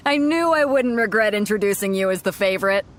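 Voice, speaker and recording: A woman's voice: mid-range voice, expressive, fairly quick, a little hiss or hum, natural voice. An actress voicing a bold, confident female pirate captain in a video game who hosts a tournament with a brash, teasing manner. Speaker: ship captain and tournament host.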